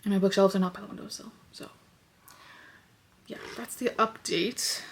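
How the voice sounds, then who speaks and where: A woman's voice: medium pitch, steady tone, normal speed, background noise. A young woman with a stuffy, congested nose, chatting casually in her bedroom and rambling with lots of fillers. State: congested, sniffly. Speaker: lifestyle vlogger. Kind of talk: casual vlog chat. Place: bedroom at home.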